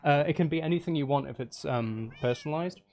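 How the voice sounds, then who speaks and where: Male streamer, gravelly edge, rambling casual chat with viewers, some noise and clicking behind the voice. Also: fairly high pitch, quick pace, a little mellow. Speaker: streamer hosting a live stream.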